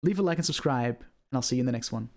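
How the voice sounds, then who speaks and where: A man's voice: mid-range voice, lively intonation, fast talker, darkish tone. A man hosting an online video, giving scripted commentary on video game lore in a presenter's style.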